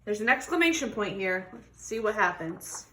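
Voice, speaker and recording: A woman's voice: medium pitch, very animated, moderate pace, bright, forceful tone, rough recording. A woman reading a children's picture book aloud expressively, stretching words for emphasis and putting questions to young listeners. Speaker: read-aloud storybook reader.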